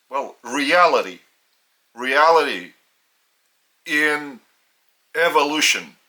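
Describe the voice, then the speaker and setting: A man with a Lithuanian accent, a native Lithuanian speaker, giving a solemn spiritual teaching in English in slow, deliberate phrases with long pauses. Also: fairly high pitch, pushed and bright.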